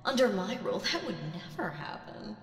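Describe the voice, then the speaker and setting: A woman voicing a seductive, domineering demon queen in a dramatic audio roleplay, speaking theatrically and deliberately with teasing menace. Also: medium pitch, sing-song, faint music behind, natural voice. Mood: proud, persuasive.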